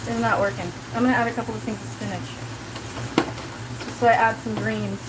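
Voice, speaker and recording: A woman's voice: fairly high pitch, steady tone, brisk. A woman in her forties with a South Kansas accent, chatting casually on a live stream while cooking in her home kitchen, rambling and leaning on "like" as she answers her chat. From Colorado. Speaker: livestreamer. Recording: sizzling pan noise behind. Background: sizzling pan.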